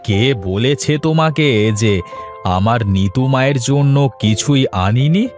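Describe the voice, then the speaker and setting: A man voicing a kindly, doting middle-aged village father in an animated fairy-tale cartoon, speaking in a homely, deliberate way. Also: mid-range voice, faint music behind, natural voice. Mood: affectionate.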